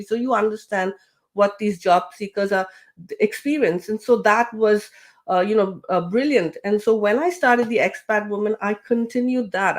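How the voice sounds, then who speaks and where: A woman speaking as an interview guest, loose and conversational, pausing on fillers and restarting her words. Her voice sits at an ordinary middle pitch. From grew up with British English. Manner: chatty, many fillers and restarts. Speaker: guest, LinkedIn trainer and coach. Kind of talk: casual interview talk.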